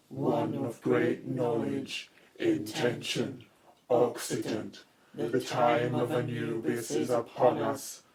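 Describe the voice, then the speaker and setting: A man's voice: medium pitch, rough recording. A man playing a menacing, imperious ancient spirit of a cursed drum in an audio drama. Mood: grandiose, imperious.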